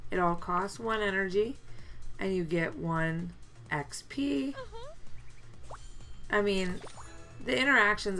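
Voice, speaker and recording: A woman commenting casually on a mobile game as she plays, her voice deepened and gravelly from a chest cold, chatty with many fillers. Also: fairly bright tone. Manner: chatty, mildly complaining, lots of fillers. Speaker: let's play gamer.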